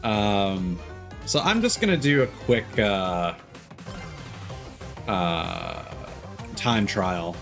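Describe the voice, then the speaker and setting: A man with a lowish voice gives casual live stream commentary. He is chatty and halting, thinking aloud as he plays, with clear game music behind him. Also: animated, fairly bright tone. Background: video game music.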